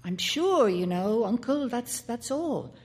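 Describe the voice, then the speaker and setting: A woman's voice: a little high, big pitch swings, takes it slowly, pitched above the performer's own voice. A woman voicing an anxious, intense young boy who stammers and pleads. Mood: eager.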